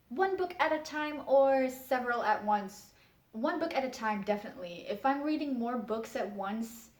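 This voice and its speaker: A young woman, a book vlogger chatting frankly to camera and keeping her voice in a low register. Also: echoey room. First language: Dutch. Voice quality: speaks in a low register in English. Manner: chatty, frank, laughs often, emphatic refusals. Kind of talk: casual answers to a tag video, talking to camera. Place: room at home.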